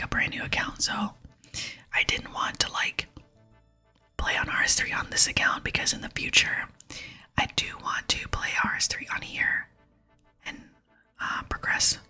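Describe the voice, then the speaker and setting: A young adult woman with an American accent whispers softly and intimately in a casual, rambling ASMR chat as a gaming host, close to the microphone. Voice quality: breathy, unvoiced whisper.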